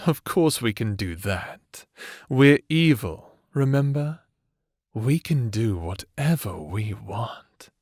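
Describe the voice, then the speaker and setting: Slow-talking, doting evil husband acted by a man. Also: mid-range voice, expressive, dry recording, studio quality. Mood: flirtatious, teasing. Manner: soft, soothing, flirtatious, affectionate endearments. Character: doting, teasing, self-described evil necromancer husband. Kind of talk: intimate ASMR roleplay acting.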